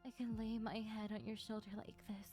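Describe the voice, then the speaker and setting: A woman voicing a lonely, needy female sleep paralysis demon in an intimate ASMR roleplay, hesitant and soft-spoken. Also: mid-range voice, little pitch movement, fairly quick, faint music behind, natural voice. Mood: tender, hopeful.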